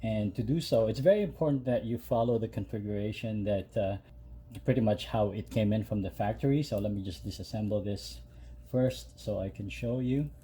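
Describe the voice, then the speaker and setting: A man's voice: fairly low pitch, moderate pace, warm tone, husky. A man hosting a DIY video, talking through the steps casually and unscripted, with small hesitations. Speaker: host of a DIY truck modification channel. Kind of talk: casual step-by-step how-to narration. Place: home garage.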